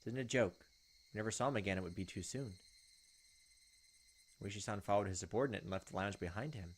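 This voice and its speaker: A man's voice: on the low side, fast talker, slight background noise. A man hosting a gaming video, reading story text aloud expressively and dropping in casual asides.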